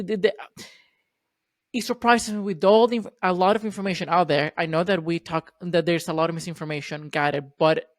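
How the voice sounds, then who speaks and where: A man's voice: high voice, dry recording. Hesitant man from Venezuela, dry sound. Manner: hesitant, with many repeated words and fillers. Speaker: podcast host. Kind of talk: casual interview talk.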